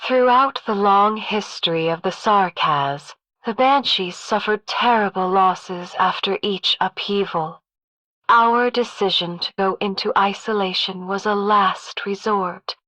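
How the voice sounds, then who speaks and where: A woman's voice: medium pitch, measured pace, pushed and bright, no room sound, low-quality recording. A woman voicing a dignified, proud, solemn matron of an ancient people in a video game, stately and formal. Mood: solemn pride. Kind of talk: game character voice acting.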